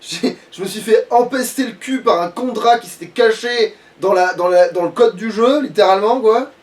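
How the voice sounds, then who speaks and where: A man's voice: high voice, normal speed, far from the mic. A man playing a video game and chatting casually, muttering and swearing in crude slang as he jokes around. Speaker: let's play gamer.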